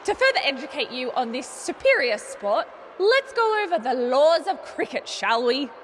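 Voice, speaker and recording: An actress playing a smug, catty Australian wrestler who hosts a talk show in a showy, mocking manner. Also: female voice, sits high, very animated, moderate pace, a little hiss or hum. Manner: mocking, showy, self-important. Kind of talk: theatrical wrestling promo.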